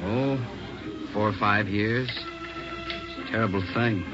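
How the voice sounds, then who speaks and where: Loud organ music sits behind a muffled old radio recording of a weary male lounge organist. He is American, very deep in pitch, laconic and helpful in short clipped phrases. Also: fairly even intonation, takes it slowly. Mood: subdued, regretful. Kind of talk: 1950s radio drama acting.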